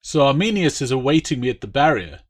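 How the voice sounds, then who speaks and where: A shrewd Roman commander, played by a male audiobook reader. He speaks with measured authority, as one used to questioning prisoners and being obeyed. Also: mid-range voice, very animated, voice pushed up. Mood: stern, probing. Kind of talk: audiobook character voice.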